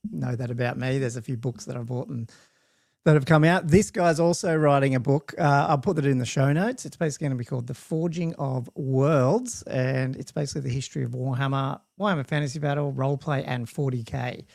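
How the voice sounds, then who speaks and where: A man's voice: mid-range voice, brisk, vocal fry, darkish tone, no room sound, clean studio sound. A man with an Australian accent, hosting a chatty tabletop gaming podcast in a rambling, laughing way. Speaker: podcast host. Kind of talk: casual podcast chat.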